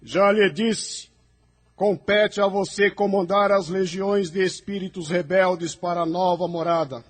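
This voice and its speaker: A man's voice: sits high, steady tone, a little slow. A man playing a solemn, authoritative angelic spirit in an audio drama, speaking in formal, commanding declamation. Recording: long cavernous reverb.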